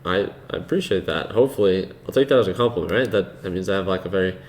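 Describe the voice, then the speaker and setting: A man hosting a live trading stream, chatting casually with viewers in a relaxed, unhurried way, with a soothing voice and plenty of fillers. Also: sits low. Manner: relaxed and unhurried, laughs easily, many fillers. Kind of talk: casual live-stream commentary, reading chat.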